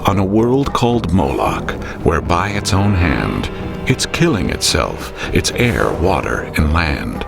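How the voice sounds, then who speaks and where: A man playing a grave, theatrical storyteller who recites rhymed narration in a measured way for an audio drama. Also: deep voice, sing-song, creaky, heavily acted. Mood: ominous. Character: grave, ominous rhyming male storyteller of a dying world. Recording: loud music behind the voice.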